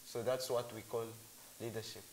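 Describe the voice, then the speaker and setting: A man's voice: mid-range voice, level tone, normal speed, darkish tone, a little hiss or hum, low-quality recording. A man from Congo, sharing rambling, earnest opinions in a casual discussion. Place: Cape Town.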